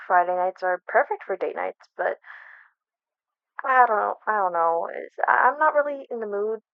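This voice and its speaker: A woman's voice: mid-range voice, lively intonation, normal speed, creaky, clear, resonant tone, no room sound, phone-quality sound. A young woman acting in an ASMR roleplay as a flustered best friend hiding a crush, speaking casually with hesitant stammers. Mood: casual, evasive. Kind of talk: ASMR roleplay, one-sided conversational acting.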